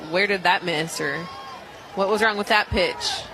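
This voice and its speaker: An American man giving conversational softball color commentary beside a play-by-play caller. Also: high-pitched, unhurried. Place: outdoor softball stadium. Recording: noisy outdoor stadium broadcast, loud crowd. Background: crowd and stadium noise.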